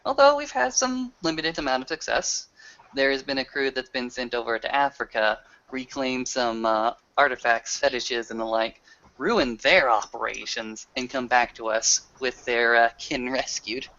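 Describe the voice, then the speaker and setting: A man with a middling pitch narrates in character for a roleplaying game. His phrasing is wordy and slightly formal, with swearing mixed in. The recording sounds dull and lo-fi. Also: normal speed, bright, forceful tone.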